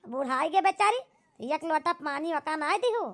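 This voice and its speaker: A woman's voice: high-pitched, expressive, normal speed, fairly bright tone. A woman voicing a reproachful older village aunt in an amateur cartoon drama, lecturing with an Awadhi accent. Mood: reproachful scolding.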